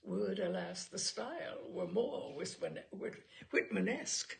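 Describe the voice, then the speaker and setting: A woman's voice: medium pitch, animated, unhurried, vocal fry, a little hiss or hum. A woman voicing a pompous, self-satisfied man who lectures in ornate critical jargon in a humorous short story. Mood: pontificating, self-satisfied.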